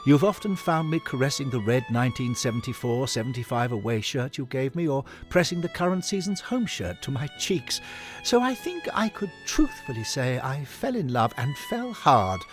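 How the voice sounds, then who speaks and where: Elderly Victorian gent , played by a man, audio story: fussy, sentimental, dithering, very animated pitch, music underneath. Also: normal speed, lightly acted. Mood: swooning, sentimental. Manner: dithering, old-chum endearments, breathy swoons. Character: effusive elderly Victorian gentleman football club founder, fussy, sentimental. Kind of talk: dramatic audiobook monologue. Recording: music behind the voice.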